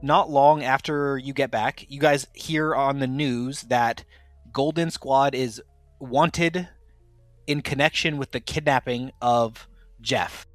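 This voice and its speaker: A man's voice: mid-range voice, steady tone, takes it slowly, no echo, faint music behind. A man casually hosting and running an actual-play tabletop game podcast, with a playful, jokey manner. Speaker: podcast host and game master.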